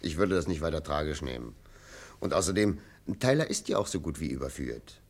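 A pragmatic police colleague in an old radio crime drama. His voice is deep with lively swings in pitch, arguing in a matter-of-fact, unbothered way. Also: brisk, full character voice. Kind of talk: mid-century German radio crime drama acting.

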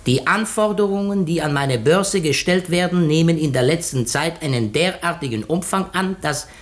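An actor playing a shrewd, self-assured detective in a 1950s German radio mystery, deliberate, theatrical and teasingly courteous. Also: male voice, on the high side, close to an everyday voice. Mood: deliberate, dramatic.

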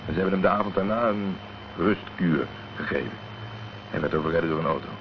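An actor playing a blunt, protective middle-aged private detective in a Dutch radio drama, terse and direct. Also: male voice, sits low, fast, natural voice. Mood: sober, explaining. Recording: muffled, noisy old radio recording.

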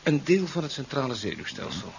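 An actor playing a brisk, jovial, slightly eccentric doctor-scientist in a 1970s radio play, hearty one moment and muttering to himself the next. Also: male voice, medium pitch, sing-song, creaky. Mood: businesslike. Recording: muffled 1970s radio recording with hiss.

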